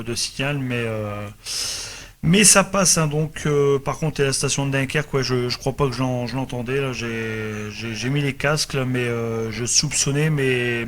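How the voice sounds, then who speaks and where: A man's voice: mid-range voice, fairly bright tone. A man speaking as an amateur radio operator in casual group chat, hesitant, repeating words and tacking little tags onto phrase ends, over a noisy radio transmission.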